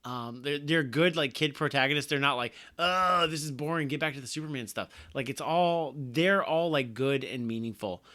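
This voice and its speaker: A man co-hosting a podcast, sharing his opinion of a comic with eager, halting restarts. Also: fairly high pitch, pushed and bright. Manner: enthusiastic, hesitant with many restarts. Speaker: podcast co-host. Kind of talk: casual podcast chat.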